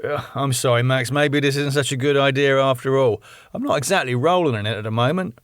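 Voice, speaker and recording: A man's voice: mid-range voice, a little fast, no room sound, clean studio sound, voice pushed up, natural voice. A man voicing an eager, hard-up young male promoter who talks in a hesitant way. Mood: apologetic.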